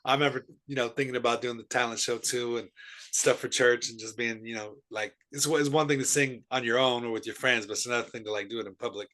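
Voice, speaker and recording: A man's voice: medium pitch, steady tone, fast, pushed and bright. Friendly rambling middle-aged man hosting. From Seattle area, USA. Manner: friendly, rambling with frequent restarts. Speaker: podcast host. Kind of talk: casual conversational interview.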